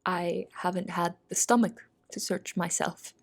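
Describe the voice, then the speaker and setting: A woman's voice: lowish voice, fairly even intonation, a little slow, creaky. A woman playing a young, anxious, secretive cook who narrates an audio drama in the first person. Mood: shaken, grieving.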